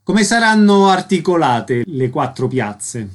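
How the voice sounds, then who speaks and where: A man's voice: a little high, sing-song, slow, a little hiss or hum. A man working as a radio interviewer, delivering prepared announcements and questions.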